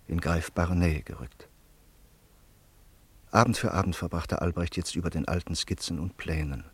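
A man narrating a radio play in a sober, steady manner. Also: low voice, breathy edge. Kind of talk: scripted radio drama narration.

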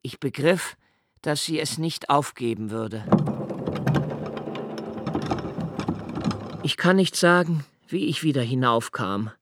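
An actor playing an introspective, haunted man who recalls his childhood in a literary radio play, with measured, precise phrasing and deliberate pauses. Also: mid-range voice, lively intonation, heavy background noise, close to an everyday voice. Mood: fearful, hushed. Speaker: radio drama narrator. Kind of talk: literary first-person radio play narration.

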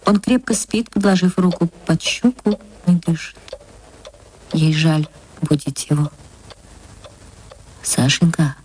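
Expressive woman narrating a literary story. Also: on the low side, unhurried, slight background noise, rough recording. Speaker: narrator, actress reading.

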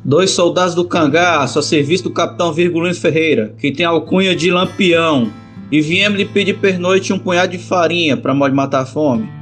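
A man voicing a level-headed, practical bandit from the backlands in an audio drama, steady and folksy. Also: a little high, brisk, soft background music, voice pushed up, close to an everyday voice. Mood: calling out. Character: level-headed male bandit leading his wounded companion. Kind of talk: audio drama character acting.

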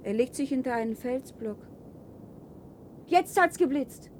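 An actress playing a suspicious, defiant young woman of a wasteland in a science fiction radio play, speaking haltingly. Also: sits high, expressive, heavy background noise. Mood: watchful.

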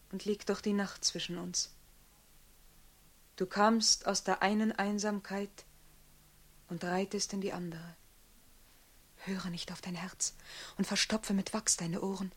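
A woman's voice: mid-range voice, slightly rough. An actress playing a devoted woman in a classic German radio play, with literary, poetic diction. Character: Shirin, devoted woman betrayed by her runaway lover.

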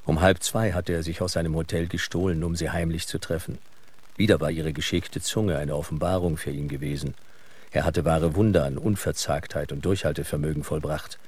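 Deep raspy guy narrating an audio drama, really low husky voice. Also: steady tone, a little fast, a little mellow. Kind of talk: scripted radio drama narration.

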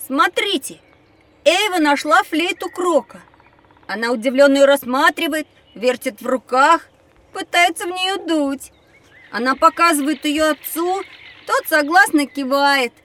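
A woman's voice: high-pitched, expressive, measured pace, bright, forceful tone, distant mic, heavily acted. A woman playing a curious, cheeky child who observes and questions things in an educational audio drama, recorded slightly away from the microphone. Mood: curious, lively. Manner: inquisitive, observant.

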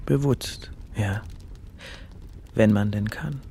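An actor playing a burnt-out Berlin poet, a gentle, hesitant man who speaks with understatement in dramatic dialogue. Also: on the low side, slow pace, vocal fry, a little hiss or hum. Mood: tender, hesitant.

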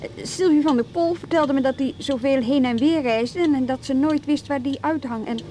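A woman's voice: high-pitched, moderate pace, warm tone, a little hiss or hum, close to an everyday voice. An actress playing a wry, weary, no-nonsense professional woman in a naturalistic radio drama, speaking in a conversational, hesitant way. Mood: wry, casual.

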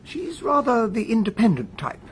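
An actor playing an earnest, genial English gentleman, a detective's loyal companion, in a radio drama, with an English accent. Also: sits high, sing-song, normal speed, a little hiss or hum. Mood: genial, slightly sheepish. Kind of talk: radio drama acting and narration.

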